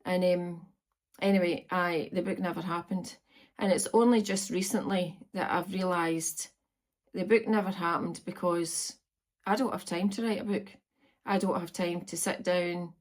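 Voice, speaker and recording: A woman's voice: fairly low pitch, steady tone, measured pace. A Scottish woman in her fifties chatting to camera from home, meandering with frequent ums. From Scotland. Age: 50s. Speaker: vlogger. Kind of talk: casual chat to camera. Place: at home on a farm, indoors.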